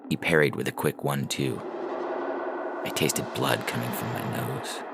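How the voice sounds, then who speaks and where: A man reads a first-person story in an extremely low voice with heavy creak. Music plays clearly behind his scripted narration. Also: sing-song, moderate pace. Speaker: fiction podcast reader and announcer.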